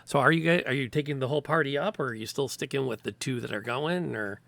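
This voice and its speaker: A man running a tabletop game, narrating in a relaxed, hesitant way with many pauses. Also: mid-range voice, vocal fry, no room sound. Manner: relaxed, hesitant, lots of ums. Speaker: game master.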